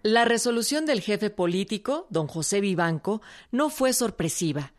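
A woman's voice: mid-range voice, expressive, normal speed. A woman delivering scripted narration for a historical radio drama.

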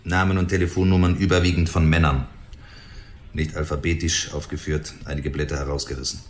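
Casting, radio play: forensic technician, deep voice, terse official reporting style. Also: male voice, level tone, brisk, a little hiss or hum. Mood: matter-of-fact. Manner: clipped, reporting findings. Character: clipped, businesslike male police lab technician. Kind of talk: noir radio drama acting.

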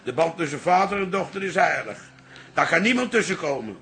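Old possessive father, sentimental, grandiloquent, animated pitch, bright tone, radio play. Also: a little fast. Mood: pompous. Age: older. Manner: grandiloquent, cajoling. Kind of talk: radio comedy-drama acting.

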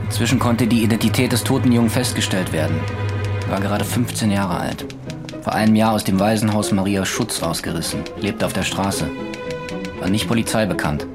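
A man acting a probing police investigator in a radio play. His lowish voice stays formal and controlled, with music clearly underneath. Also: fairly quick, natural voice. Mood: cool, insistent. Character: cool, probing male police interrogator, formal and relentless. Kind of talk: German radio drama acting.

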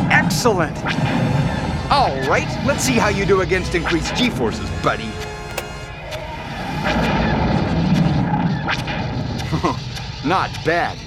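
A brotherly young rebel pilot in a space radio drama. The voice is high and swings widely in pitch, with an exuberant, teasing manner. Music runs underneath. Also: male voice, heavily acted. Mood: excited. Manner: exuberant, teasing, laughing easily.

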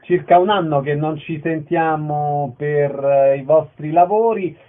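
A man's voice: a little high, measured pace. A man working as a radio interviewer, introducing guests and putting short questions to them. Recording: thin, phone-like band.

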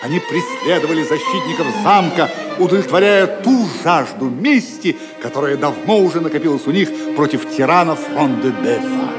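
A male narrator delivers a scripted, dramatic story. His voice sits a little high and sounds theatrical and solemn. Music is clearly heard behind him. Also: animated, moderate pace, few pauses.

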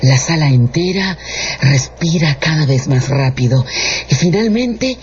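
A man narrating a literary radio drama in a deliberate storytelling manner. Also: fairly high pitch, expressive, a little hiss or hum. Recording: muffled audio under loud music.

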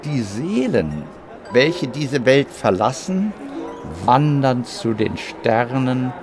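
A mighty ancient heretic sage in a radio drama. He declaims solemnly and very slowly, with a pitch that swells and falls widely, over music. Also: very animated. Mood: solemn, rapt. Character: mighty heretic teacher Origen, solemn visionary preaching on souls. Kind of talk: German radio drama acting.

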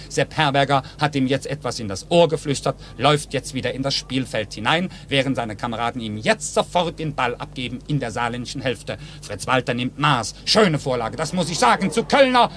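A man's voice: mid-range voice, animated, brisk, few pauses, fairly bright tone. A man giving detailed live play-by-play football commentary on 1950s radio, in short clipped phrases from a stadium. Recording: noisy old 1950s radio recording.

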